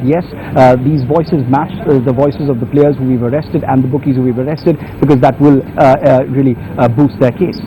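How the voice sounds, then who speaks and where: A man with an Indian English accent reporting live from the field, repeating and stressing points in a halting way. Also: fairly high pitch, dull audio. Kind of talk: live unscripted news report. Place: outdoors on a street outside police premises. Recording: faint outdoor street noise.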